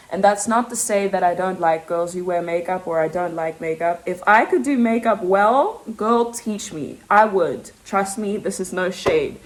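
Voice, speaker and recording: Fast-talking young South African woman. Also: mid-range voice, low-quality recording. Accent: South African English with a British touch. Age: young adult. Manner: fast, rambling, self-interrupting, laughs often. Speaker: vlogger. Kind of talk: casual confessional chat to camera.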